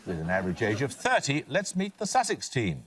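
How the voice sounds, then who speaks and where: An older man hosting a television quiz in a studio, with a crisp, clipped, authoritative delivery. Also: mid-range voice, huge pitch swings, fairly quick, fairly bright tone. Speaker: quiz host.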